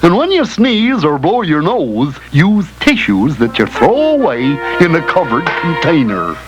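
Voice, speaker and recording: An actor playing a folksy, emphatic health teacher in an educational cartoon, on an old film soundtrack. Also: male voice, a little high, wildly swooping pitch, measured pace. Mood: earnest, advising. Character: animated friendly male health teacher, folksy and instructive. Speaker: explainer guide. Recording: old 16mm film soundtrack, loud music.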